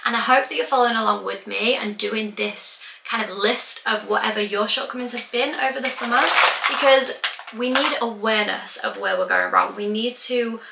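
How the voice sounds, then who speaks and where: A young woman in her twenties with a croaky voice, rambling casually and swearing a bit as she talks to her camera. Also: a little high, animated, moderate pace, bright, forceful tone, echoey room, a little hiss or hum, rough recording. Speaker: vlogger. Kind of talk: casual chatty vlog monologue. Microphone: camera mic.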